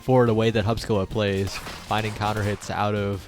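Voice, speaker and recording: A man with a fairly low voice provides live play-by-play for a video game tournament. He follows the action in long, flowing sentences. Music plays faintly underneath. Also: little pitch movement, normal speed. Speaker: esports commentator.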